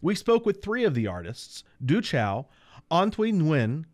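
A man's voice: a little high, big pitch swings, a little slow, darkish tone, no room sound. An American man reading scripted narration as host of a television program. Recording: music bed behind voice. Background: background music.

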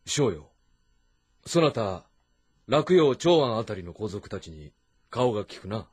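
A husky, commanding warlord. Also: male voice, on the low side, very animated, darkish tone, soft background music, full character voice. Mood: calm, commanding. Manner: authoritative, deliberate, lordly. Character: commanding, shrewd middle-aged male warlord of ancient China. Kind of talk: dramatic Japanese historical game voice acting.

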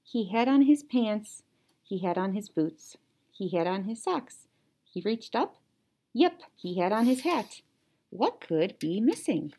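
A young woman reading a children's story like a teacher, animated, with playful sound words. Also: mid-range voice, slow pace, slightly rough. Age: young adult. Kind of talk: children's story read-aloud. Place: small indoor classroom or office.

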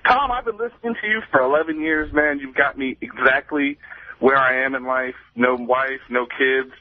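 A man's voice: mid-range voice, moderate pace. Earnest man on a tinny phone line. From Ohio. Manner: admiring, earnest, joking. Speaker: caller. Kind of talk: call-in radio talk. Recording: thin telephone line on air.

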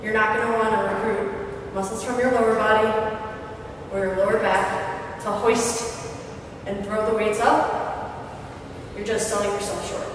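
A young woman, a fitness trainer, calmly explaining exercise technique as she demonstrates. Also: medium pitch, unhurried, pauses often, background noise. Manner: clear, steady coaching while demonstrating exercises. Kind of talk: instructional demonstration. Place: large gym with hard walls. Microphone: far camera. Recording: echoey gym from far off.

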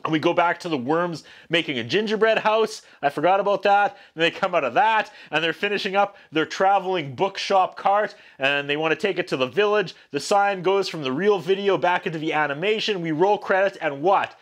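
Exasperated, high-pitched man reviewing. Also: brisk, hardly any pauses. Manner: exasperated, sarcastic, animated. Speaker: video reviewer, host. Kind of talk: comedic scripted-style review rant to camera. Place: small indoor room.

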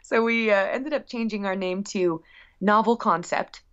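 A woman speaking as a musician guest in a casual interview, telling stories in an effusive, animated way. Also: mid-range voice, normal speed, smooth, lo-fi sound.

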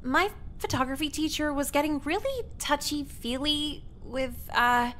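High-pitched, flippant teenage schoolgirl. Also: expressive, unhurried, a bit bright, a little hiss or hum. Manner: flippant, sarcastic, foul-mouthed. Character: sardonic, brazen teenage schoolgirl, a glib liar. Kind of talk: visual novel voice acting.

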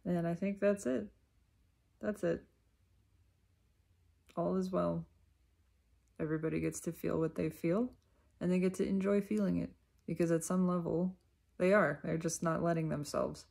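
A woman giving a reflective self-help monologue, unhurried and emphatic, often repeating her phrases. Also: lowish voice, fairly even intonation, creaky, a little mellow.

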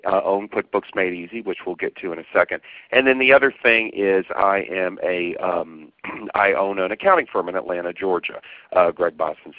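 Southern guy, chatty webinar presenter, lowish voice, dry tinny phone line. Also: dry recording. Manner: friendly, chatty, frequent fillers. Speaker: presenter, accountant and trainer.

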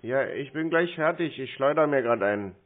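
A man's voice: medium pitch, expressive, normal speed. A man answering a phone call casually, tersely and unbothered, mostly with brief acknowledgements. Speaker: call recipient stringing telemarketers along. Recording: narrowband phone audio.

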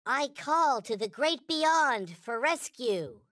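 A man voicing a small, naive, reverent toy space alien in a video game, with a high childlike cartoon voice and solemn, stilted, formal phrasing. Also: big pitch swings, slow pace, pushed and bright, no echo, studio quality, exaggerated voice. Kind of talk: video game character voice lines.

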